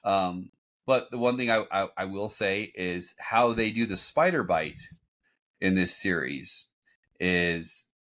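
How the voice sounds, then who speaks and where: Male podcast host, low voice, halting conversational storyteller, casual recap commentary. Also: fairly bright tone. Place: home room, on a group video call.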